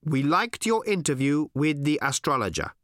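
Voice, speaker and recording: A storyteller voicing a naive, earnest space alien who speaks in stilted, literal, drawn-out phrases. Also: medium pitch, very animated, no room sound, studio quality, voice pushed up, lightly acted. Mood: eager, avid.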